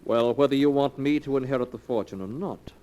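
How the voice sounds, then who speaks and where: An actor playing a smooth, callous young heir in a 1940s radio mystery, with a suave air of feigned innocence. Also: male voice, mid-range voice, brisk, warm tone, dull audio, lightly acted. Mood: smug, defiant. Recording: noisy old 1940s radio recording.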